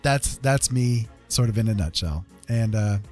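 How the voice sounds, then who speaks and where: Male livestream host, lowish voice, casual confessional chat, halting delivery, faint music underneath. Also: expressive, a little mellow.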